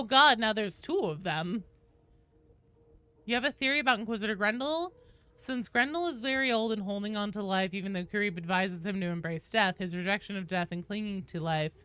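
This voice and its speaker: A woman game streamer with a bright, forward voice at an average pitch. She talks casually to her chat, reading comments and answering them playfully, and she swears freely. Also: smooth, no echo.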